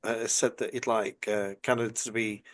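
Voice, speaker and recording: A British man hosting a podcast interview, asking long, halting questions full of stammers and fillers. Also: on the low side, flat delivery, fairly bright tone. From United Kingdom. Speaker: podcast host.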